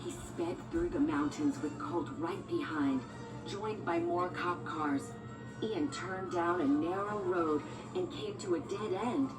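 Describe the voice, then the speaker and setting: A woman reading a scripted children's storybook as narrator. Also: on the low side. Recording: echoey, distant, music behind.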